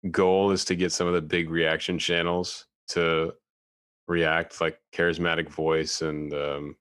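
A man's voice: deep voice, little pitch movement, unhurried, fairly bright tone, clean tone. A man in his late twenties reading questions and chatting easily with bandmates, laughing often and restarting his sentences. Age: 20s. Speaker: group member leading a Q&A. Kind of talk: casual group chat.

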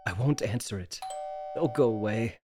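Tormented husband, acted out, mid-pitched voice, melodramatic, pleading, secretive, radio horror drama. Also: moderate pace, natural voice. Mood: desperate, tender. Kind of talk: old-time radio drama table read.

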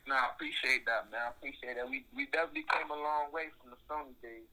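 A man's voice: medium pitch, wildly swooping pitch, quick pace, sharp tone, a little hiss or hum, rough recording. A young man from South Florida, a music executive, chatting casually in an interview and rambling with lots of fillers. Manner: laid-back, rambling with many fillers.

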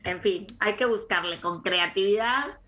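A Mexican woman co-hosting a podcast, telling lively anecdotes and laughing in casual chat. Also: medium pitch, a little slow. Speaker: podcast co-host.

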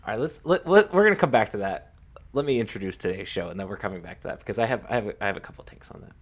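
A man co-hosting a casual sports podcast, talking in a halting way with repeated words and lots of "like". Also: lowish voice, expressive. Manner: halting, repeats himself, peppers sentences with "like".

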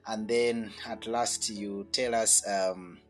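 A man's voice: lowish voice, fairly even intonation, faint music behind. A man hosting a faith radio chat show, earnest and emphatic, praying and welcoming listeners. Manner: earnest, slow and emphatic, with swells in volume. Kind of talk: preaching and prayer, then hosting a chat.

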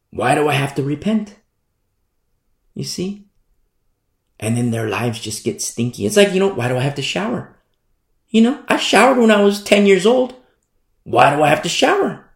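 Animated preacher with a gravelly voice. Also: male voice, fairly high pitch. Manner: animated, repetitive preaching with mock quotes. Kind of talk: sermon. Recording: echoey room sound.

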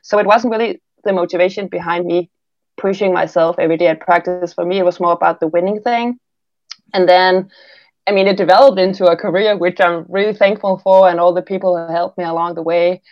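A woman's voice: fairly low pitch, fairly even intonation, a little fast, no echo, muffled recording. A woman from Denmark with a Danish accent, speaking English in a casual interview, reflective and prone to restarting her sentences. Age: 30s. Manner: reflective, with frequent restarts and laughs. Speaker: guest, former Olympic swimmer.

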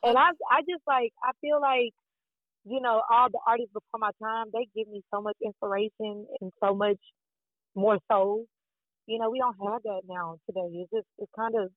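A woman from Fort Worth, Texas, with a deep, raspy voice, talking excitedly as a singer being interviewed, rambling and repeating words. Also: dry recording. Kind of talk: casual interview chat. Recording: dry, tinny phone-like line.

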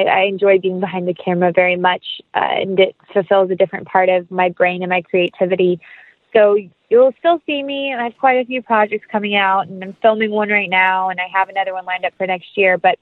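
A woman's voice: medium pitch, steady tone, a little fast, no room sound. A woman speaking casually as an interview guest, earnest and upbeat, over a tinny phone-like connection. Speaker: interview guest, actress and producer.

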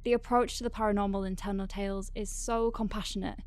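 A woman's voice: medium pitch, fairly even intonation, fast talker, a little mellow. A woman podcast host giving a friendly, informal announcement to her listeners in an appreciative way. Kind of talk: scripted thank-you announcement to listeners.

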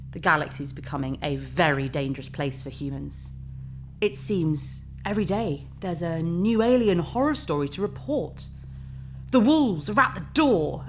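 A woman playing a smug, manipulative, warmongering talk-show host in a sci-fi audio drama, with pompous, emphatic broadcast patter. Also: on the low side. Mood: grave, showy.

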